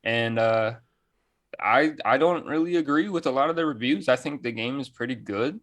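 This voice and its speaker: A man's voice: medium pitch, normal speed, a bit bright. A man chatting casually as a gaming podcast co-host, enthusiastic, with plenty of slang and swearing.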